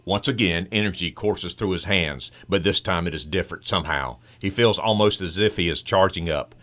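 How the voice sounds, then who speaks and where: Deep, bright-voiced man narrating a radio serial. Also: a little fast.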